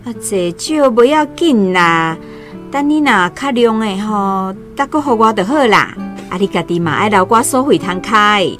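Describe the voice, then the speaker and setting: A woman's voice: medium pitch, expressive, unhurried, a bit bright, faint music behind. An actress playing a kindly, fussing aunt in a radio drama, with a Taiwanese accent and a motherly, exclamatory manner. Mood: reassuring. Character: kindly, fussing young aunt housing her niece.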